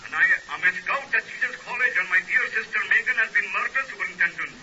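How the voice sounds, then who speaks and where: High male voice, grief-stricken servant, halting, humbly polite, 1950s radio crime drama, crackly dull recording. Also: bright, forceful tone, distant mic. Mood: distressed, deferential. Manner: halting, deferential, repeatedly saying sir. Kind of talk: 1950s British radio acting. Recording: muffled, noisy, crackly old radio recording.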